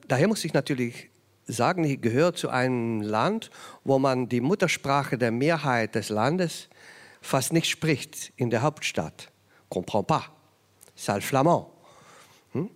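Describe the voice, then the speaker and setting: A man from Flanders, a native Dutch speaker with a Flemish accent, answering as an author on a panel and often searching for his words in German. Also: medium pitch. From Belgium, Flanders (Ghent). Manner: searching for words in German, frequent restarts and fillers.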